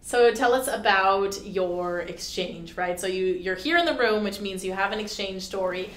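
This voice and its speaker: A woman's voice: mid-range voice, moderate pace, fairly bright tone. A woman hosting a casual interview show, upbeat and asking follow-up questions. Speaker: show host. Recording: echoey room, faint ambient noise.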